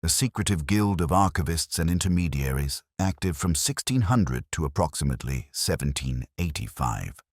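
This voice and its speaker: Guy narrator doing a really deep victorian detective, precise and bossy, lecturing, dry close sound. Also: a little mellow, no room sound. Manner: deliberate, didactic, commanding.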